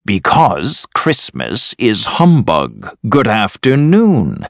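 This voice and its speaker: A man's voice: fairly low pitch, wildly swooping pitch, slow pace, creaky, dry recording, full character voice. A man voicing a mean, snappish old miser. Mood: cold dismissal. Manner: curt, scornful, snapping. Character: hard, mean, bitter old male miser. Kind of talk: storybook character voice.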